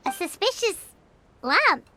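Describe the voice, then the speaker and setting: A woman's voice: very high-pitched, big pitch swings, slow pace, no echo, exaggerated voice. A woman voicing a small, chirpy floating female companion creature who talks about herself in the third person, in video game voice acting. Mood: curious. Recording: game audio with loud music behind.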